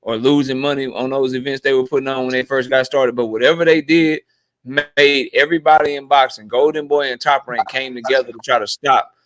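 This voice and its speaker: A man's voice: mid-range voice, fairly even intonation, fairly quick, a bit bright, no room sound, rough recording. A man hosting a live boxing talk stream, arguing bluntly and swearing freely. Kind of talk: live-stream panel debate.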